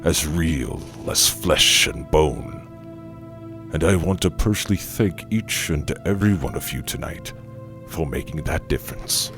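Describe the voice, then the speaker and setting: A wealthy gala host speaks in a slow, extremely deep, gravelly voice over faint music. He is jovial and theatrical in this audio drama, savoring his grand phrases with a silky menace. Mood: grandly gracious. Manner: grandiose, savoring, drawn-out delivery. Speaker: banquet host.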